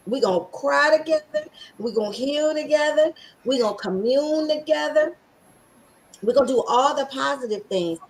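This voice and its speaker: A woman hosting a podcast, with a scratchy voice, talking with excited emphasis and slowly repeating affirmations. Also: high-pitched. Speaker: podcast host.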